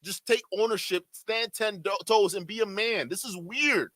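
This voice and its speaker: A man's voice: sits high, moderate pace, sharp tone, no room sound, studio quality. A man reacting live to a stream, exasperated and incredulous, talking straight to his chat. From Nigeria. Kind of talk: livestream reaction commentary.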